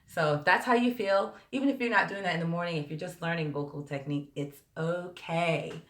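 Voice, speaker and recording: A woman vocal coach with a slightly hoarse, tired voice, chatting directly to viewers during a live-stream lesson and answering their questions. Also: lowish voice, a little fast. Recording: roomy echo.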